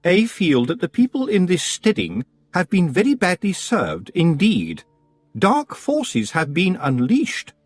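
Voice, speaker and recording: A male reader voicing a prim witch lady with a high, sugary lilt and overly polite diction. Her voice is a little husky and swoops about. It is close and dry. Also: huge pitch swings, measured pace, dry recording, faint music behind, heavily acted. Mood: honeyed. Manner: falsely sweet, overly polite. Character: prim, sugary middle-aged witch, woman.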